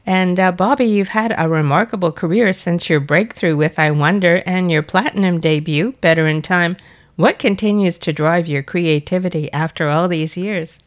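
A woman's voice: on the low side, moderate pace. A woman radio host who introduces her guest from a script and then asks him questions. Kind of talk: interview hosting.

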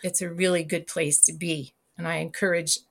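A woman writer speaks as an interview guest in a somewhat low voice. She talks haltingly, restarting phrases as she hunts for the right word, and laughs easily between thoughts. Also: level tone, gravelly. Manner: halting and searching for words, laughs often. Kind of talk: casual interview chat.

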